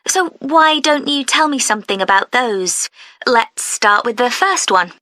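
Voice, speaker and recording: Bright, friendly club receptionist. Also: female voice, fairly high pitch, animated, a little slow, dry recording, studio quality. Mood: interested. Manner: clear, helpful, methodical questioning. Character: friendly, efficient camera club receptionist taking an application. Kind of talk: scripted listening-test phone dialogue.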